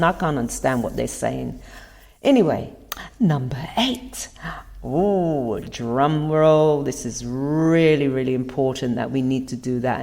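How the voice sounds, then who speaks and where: A woman's voice: deep voice, darkish tone. A woman hosting a podcast, talking earnestly through tips on communication and stressing key words. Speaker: podcast host. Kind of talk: motivational talk over video clips.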